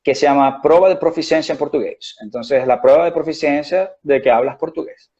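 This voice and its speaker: A man's voice: a little high, fairly quick, a little mellow. Venezuelan man, muffled and lo-fi. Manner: rambling, frequent restarts and fillers. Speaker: guest doctor. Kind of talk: conversational interview.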